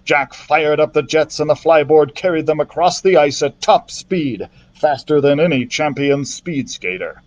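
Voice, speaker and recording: A male librarian reading a children's adventure book aloud in a steady storytelling manner, with the odd slip. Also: a little high, measured pace. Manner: steady storytelling narration with small slips.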